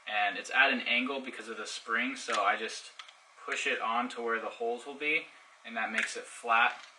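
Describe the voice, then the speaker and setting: A man's voice: mid-range voice, steady tone, moderate pace. A man giving a casual unboxing review and hands-on demonstration, explaining things informally step by step. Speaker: product reviewer. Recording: distant mic, faint background noise.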